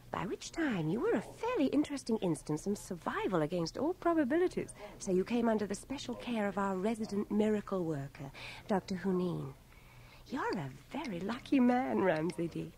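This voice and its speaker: An actress playing a tender, teasing young English volunteer nurse in a radio drama, poised and brisk. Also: female voice, mid-range voice, a little mellow. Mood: wry, matter-of-fact. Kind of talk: 1980 radio drama acting.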